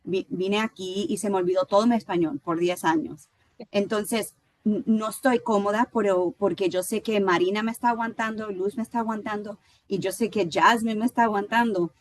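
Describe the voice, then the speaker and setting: A woman from Puerto Rico speaking on a panel, hesitant and halting, with frequent restarts. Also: medium pitch, dry recording. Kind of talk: panel conversation.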